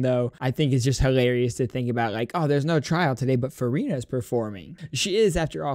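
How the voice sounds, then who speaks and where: A young man giving casual, animated, rambling reaction commentary as a streamer, close on the microphone. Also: mid-range voice, no room sound. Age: young adult. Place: small room at home, indoors. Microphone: close boom-arm microphone.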